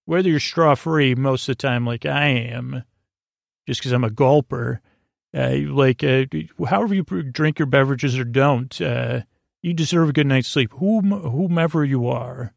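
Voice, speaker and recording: A man hosting a sleep podcast with a goofy, rambling bedtime monologue, haltingly trailing off and doubling back. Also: medium pitch, vocal fry, no room sound, clean studio sound. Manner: whimsical, goofy.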